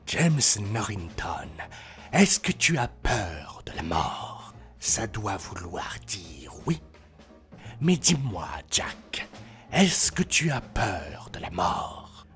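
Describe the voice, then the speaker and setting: A man voicing a sinister undead sea captain from a fantasy film, low and breathy. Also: sing-song, very slow, fairly bright tone, faint music behind, heavily acted. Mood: menacing.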